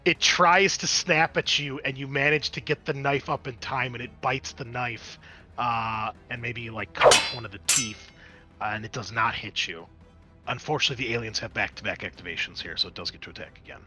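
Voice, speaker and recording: A man acts as game master for a tabletop session, talking informally and getting excitable as he calls out the action. His voice sits at a middle pitch with a bright, forward edge. Soft music plays behind him. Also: brisk, slightly rough. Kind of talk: narrating and refereeing a tabletop roleplaying game.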